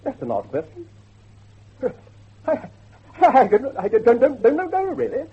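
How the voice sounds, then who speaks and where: An actor playing an earnest, excitable scholar of werewolves, professorial and halting, with a husky voice in a horror drama. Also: male voice, very high-pitched, highs cut off, full character voice. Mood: flustered. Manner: professorial, hesitant, halting emphasis. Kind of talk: dramatic horror dialogue acting.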